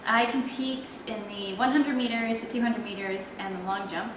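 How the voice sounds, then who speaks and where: A woman's voice: a little high, steady tone, moderate pace, reverberant, background noise. A young woman with a Canadian accent, chatting casually in a video blog and explaining things with plenty of fillers. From Toronto, Canada. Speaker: athlete video blogger.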